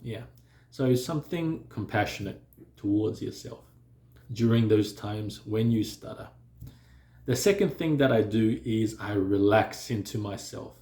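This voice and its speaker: A man who stutters, talking calmly and reflectively to camera, with pauses and occasional blocks on words. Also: fairly low pitch, reverberant. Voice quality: stutters and blocks on some sounds. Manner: calm, measured, with pauses and fillers. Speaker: vlogger sharing stuttering experience. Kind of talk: reflective personal talk to camera.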